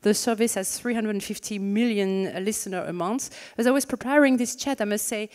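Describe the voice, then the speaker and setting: A woman's voice: mid-range voice, normal speed, a little mellow. A woman in her thirties from France with a French accent, moderating a fireside chat on stage, chatty and joking with many fillers, speaking into a handheld mic. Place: conference stage in a large hall. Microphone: handheld microphone. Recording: reverberant hall sound.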